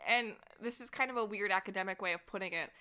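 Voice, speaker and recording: A woman podcast guest chatting casually, telling stories in an animated, self-deprecating way with frequent laughter. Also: mid-range voice, fast, clean tone. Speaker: voice actor. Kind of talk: casual conversational chat about fandom.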